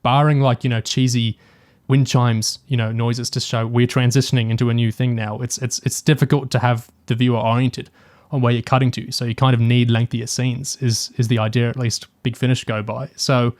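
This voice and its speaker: A man's voice: medium pitch, level tone, fairly quick, a little mellow, no room sound. A man co-hosting a podcast, talking casually and analytically through a review, hesitantly thinking aloud as he goes. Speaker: podcast co-host.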